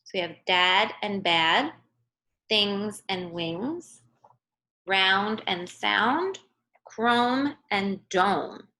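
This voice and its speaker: A woman's voice: mid-range voice, pushed and bright, rough recording. A woman teacher giving a remote read-aloud lesson for young children, explaining simply and often checking in with a short question. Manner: instructive, checks in with "okay" and "right?". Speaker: early-grade teacher.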